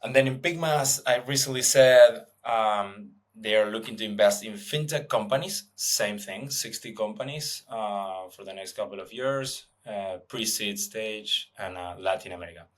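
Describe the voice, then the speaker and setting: Hesitant Argentine-born man, low voice. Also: normal speed, few pauses. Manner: hesitant, many fillers and restarts. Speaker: guest, venture investor. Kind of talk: casual interview answers.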